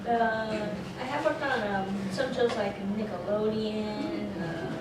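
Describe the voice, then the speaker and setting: A woman's voice: medium pitch, steady tone, takes it slowly. A woman chatting casually as a performer on a convention panel. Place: convention panel room, recorded from afar. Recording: echoey noisy room, distant microphone.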